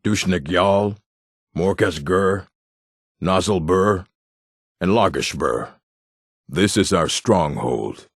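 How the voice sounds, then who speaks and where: A man voicing a proud, blunt orc warrior and miner for a video game, with a gruff, gravelly, husky voice. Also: low-pitched, slow, pauses often, no echo, studio quality, heavily acted. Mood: stern, guarded. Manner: blunt, terse, commanding. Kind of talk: scripted video game character lines.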